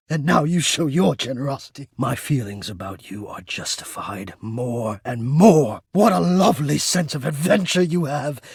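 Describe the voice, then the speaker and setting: An actor playing a shy, devoted, lovestruck male air-vent spirit in a romance video game, with breathy, formal, florid and earnest delivery. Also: mid-range voice, no room sound, studio quality, heavily acted. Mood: tender, apologetic.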